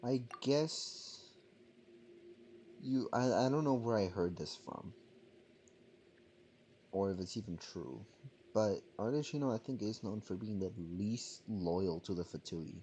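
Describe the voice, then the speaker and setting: A man streaming a video game with casual commentary, musing aloud in a halting way over game music. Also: lowish voice, expressive, warm tone, slight background noise.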